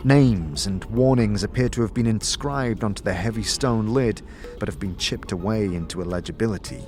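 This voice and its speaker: A man's voice: fairly low pitch, moderate pace, darkish tone, soft background music. A man narrating a fantasy audio drama in a descriptive, dramatic storytelling style with shifting emphasis. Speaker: narrator and game character in an audio series.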